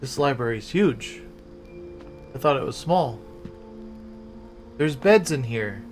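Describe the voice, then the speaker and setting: A man's voice: medium pitch, expressive, slow. A man doing casual gameplay commentary as a streamer, musing aloud in short questions and repeating himself. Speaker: game streamer. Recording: game music behind the voice.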